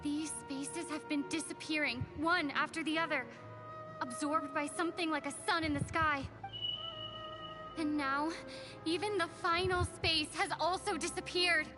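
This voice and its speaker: A woman playing an earnest, thoughtful young female adventurer in a video game, recounting things in a measured way. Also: sits high, fairly even intonation. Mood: grave. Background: music.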